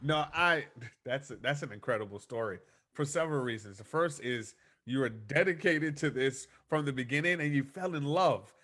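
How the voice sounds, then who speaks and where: A man's voice: mid-range voice, lively intonation, normal speed, sharp tone. A man hosting a podcast interview, effusive and praising, often restarting his sentences. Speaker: podcast host. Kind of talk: conversational interview.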